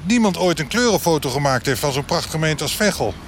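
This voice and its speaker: A man's voice: on the high side, expressive, a little fast, slight background noise. A man playing a proud, wry small-town mayor in a mock news interview soundbite.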